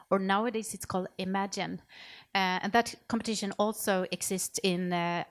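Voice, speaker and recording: Woman, medium pitch, talking on a panel, kinda halting and rephrasing. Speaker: panelist, music project coordinator. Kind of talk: informal panel talk.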